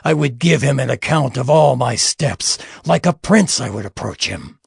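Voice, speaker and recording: An actor playing an anguished, defiant biblical patriarch in a dramatized scripture reading, solemn and impassioned, with a gravelly, husky voice. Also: male voice, medium pitch, no room sound, clean studio sound, exaggerated voice.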